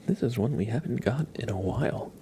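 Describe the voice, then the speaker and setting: A man hosting an ASMR livestream, talking softly in a gentle, unhurried, chatty way to his viewers. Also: medium pitch, animated, slight background noise. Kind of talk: ASMR, soft whispered live chat and reading.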